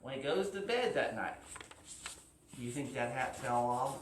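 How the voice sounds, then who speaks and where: A woman's voice: rumbling low, animated, normal speed. A woman telling a children's story, asking the listeners questions and using repetition to build suspense. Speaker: storyteller at a library story time. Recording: roomy, distant mic with faint noise.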